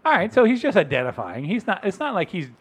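Man, podcast host, crude teasing jokes, animated voice. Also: sits high, fast, breathy edge. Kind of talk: casual chat.

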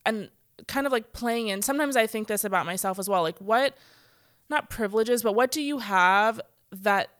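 A woman's voice: a little high, moderate pace, fairly bright tone, no room sound, studio quality. A woman talking in a casual conversation, chatty and full of filler words, acting out quoted speech. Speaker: guest speaker. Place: church stage.

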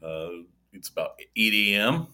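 A man's voice: on the low side, sing-song, unhurried, bright, forceful tone. A man co-hosting a casual morning chat, rambling with many restarts. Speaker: co-host. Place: office of an insurance enrollment center.

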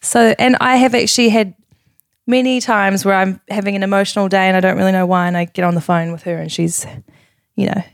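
A woman's voice: medium pitch, steady tone, brisk, creaky, dry recording, studio quality. A young woman with a thick New Zealand accent, chatting openly as a podcast guest and laughing often. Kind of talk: casual podcast chat.

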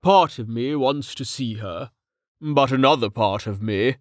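A man's voice: mid-range voice, a little slow, no room sound, studio quality, lightly acted. A man voicing a wary, troubled family man in a fantasy audiobook, speaking in a hesitant, brooding manner. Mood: conflicted.

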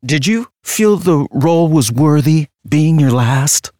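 Creepy superfan, gushy but taunting, pitch all over the place, takes his time, audio drama. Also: expressive, slow, a little mellow, no echo, clean studio sound. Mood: fawning, needling. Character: gushing, needling obsessive fan of a dead star. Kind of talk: theatrical audio drama acting.

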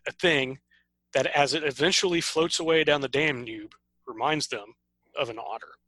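A man with a bright, forceful voice recaps a story in a relaxed podcast chat, playful and teasing. The sound is close and dry. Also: fairly high pitch, normal speed, dry recording, rough recording. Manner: playful, summarizing plots, teasing. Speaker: podcast co-host. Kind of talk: casual book summary and chat.

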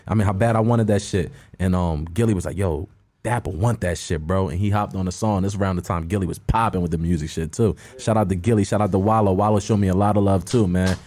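Male rapper, soft low voice, laid-back slang. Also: steady tone, fast. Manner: slangy, repeats "man" and "bro". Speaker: guest rapper. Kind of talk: casual chat.

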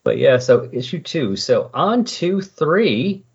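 A man with a slightly husky voice in casual, rambling, enthusiastic conversation as a podcast host. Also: on the high side, sing-song, slow pace. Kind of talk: casual chat about comic books. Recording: dull, lo-fi recording.

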